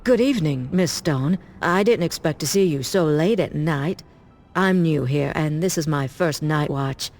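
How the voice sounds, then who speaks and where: A rookie night guard in a game cutscene. Her voice sits very low, courteous and confiding. She comes across as uneasy on the job. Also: measured pace, darkish tone, faint music behind. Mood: polite. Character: polite, jittery female rookie night guard. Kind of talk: scripted game cutscene dialogue.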